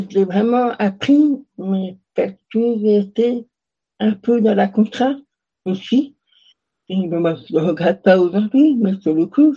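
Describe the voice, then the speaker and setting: A woman's voice: medium pitch, warm tone, breathy edge, highs cut off. A young woman answering interview questions casually, hesitant, with many fillers and restarts. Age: young adult. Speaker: interview guest.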